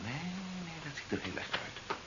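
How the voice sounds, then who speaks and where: An actor playing a brusque, impatient, sardonic sci-fi hero in a seventies radio drama. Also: male voice, moderate pace, close to an everyday voice. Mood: cold menace. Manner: curt, commanding, sardonic. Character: brusque, impatient male sci-fi hero pursuing his wife. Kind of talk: 1970s Dutch radio drama acting. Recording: dull, hissy 1970s radio recording.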